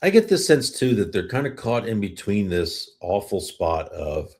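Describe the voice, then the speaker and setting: A man's voice: lowish voice, big pitch swings, normal speed, a little mellow. A middle-aged man hosting a sports podcast, asking and reacting in a conversational interview style. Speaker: podcast host.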